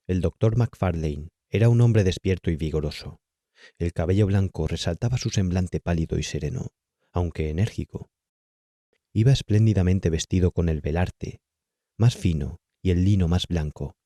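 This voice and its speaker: A man's voice: deep voice, mellow, dry recording. A man with a Castilian Spanish accent reading a literary horror tale as an audiobook narrator, close to the microphone. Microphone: close, dry studio-style microphone.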